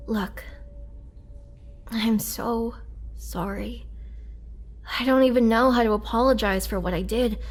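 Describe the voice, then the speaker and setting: A gentle young female vampire, acted by a woman in an intimate roleplay audio drama. She speaks slowly at a middling pitch, soft and earnest, pausing often as if weighed down by remorse. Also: close to an everyday voice. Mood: reassuring, earnest. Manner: soft, earnest, halting delivery with whispered moments.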